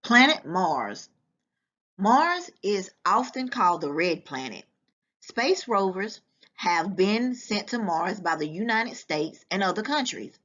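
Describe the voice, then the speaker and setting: Slow, expressive woman reading aloud, slightly dull recording. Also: mid-range voice. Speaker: volunteer children's book reader. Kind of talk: children's read-aloud of a nonfiction book. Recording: dull, lo-fi sound.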